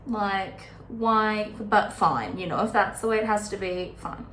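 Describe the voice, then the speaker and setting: A woman's voice: mid-range voice, measured pace, fairly bright tone. A woman with a mid-range voice gives a casual, chatty book recap. She talks informally, slipping into conversational paraphrases of what characters say. The room is echoey, and there is faint noise behind her. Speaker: host of a book readalong. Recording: roomy echo with faint background noise.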